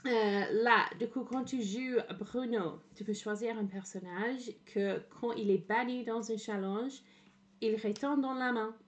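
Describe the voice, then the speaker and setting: Slow-talking Englishwoman with an English accent. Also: on the high side, dull audio. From England. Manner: hesitant, frequent "euh" fillers, mixes in English words, laughs often. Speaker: streamer. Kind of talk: casual livestream commentary.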